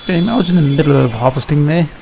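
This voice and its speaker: Gravelly, muttering gamer over steady hiss. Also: mid-range voice, fast talker. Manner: muttering to self, thinking aloud. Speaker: gamer hosting a gameplay series. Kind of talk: casual gameplay commentary. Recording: hissy, noisy home recording.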